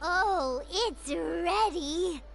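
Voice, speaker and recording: Squeaky, high-pitched little fairy companion. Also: female voice, very slow, gravelly, music in the background, exaggerated voice. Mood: cheerful. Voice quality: squeaky, childlike. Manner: perky, speaks of self in third person. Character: tiny childlike floating fairy companion, chirpy, food-loving. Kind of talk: anime video game voice acting. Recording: game stream with loud background noise.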